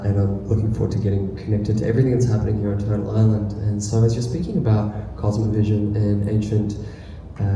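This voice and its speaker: A man asking an unscripted question from the audience at a live panel. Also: fairly low pitch. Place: large echoey room at a live panel event. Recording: echoey hall, distant microphone, noisy.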